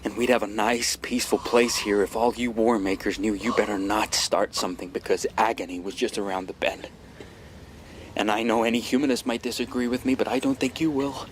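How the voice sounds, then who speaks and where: An actor playing a bitter young avenger in a radio drama, deliberate and menacing, often hushed. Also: male voice, on the low side, level tone, lightly acted. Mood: bitter, vengeful. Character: hardened young avenger, bitter, cold and merciless. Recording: faintly noisy radio drama recording.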